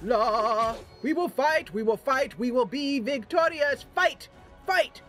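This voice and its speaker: A woman's voice: high-pitched, expressive, slow, a bit bright, soft background music, voice pushed up, exaggerated voice. A woman voicing a spirited female bard from a fantasy game, theatrical and sing-song, with archaic phrasing. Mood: rousing battle song.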